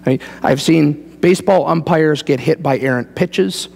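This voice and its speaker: Male American pastor, middle-aged, slightly high-pitched with a creaky edge; folksy sermon storyteller in a big church. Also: moderate pace, a little mellow. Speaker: pastor preaching. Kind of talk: sermon with conversational asides. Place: large church sanctuary, indoors, standing before a congregation. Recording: reverberant church hall.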